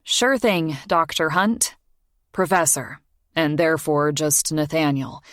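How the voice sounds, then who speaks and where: A woman's voice: sits low, takes it slowly, dry recording, studio quality. Woman doing an eager, grateful young woman. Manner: lighter, raised, eager delivery. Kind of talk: audiobook character dialogue.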